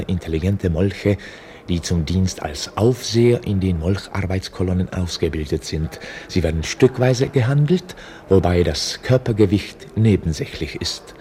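Lowish voiced guy as a formal radio play chronicler, explaining stuff, really lively intonation. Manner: explanatory, formal, theatrically varied delivery. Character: male chronicler presenting a mock documentary report. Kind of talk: scripted radio drama narration.

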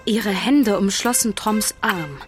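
A woman's voice: mid-range voice, slow pace, over music. A woman giving scripted narration of a children's story.

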